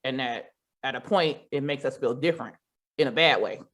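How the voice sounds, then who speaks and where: Blunt American woman, low-pitched podcast voice. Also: normal speed. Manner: blunt, profane, emphatic. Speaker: podcast co-host. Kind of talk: casual podcast chat. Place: studio.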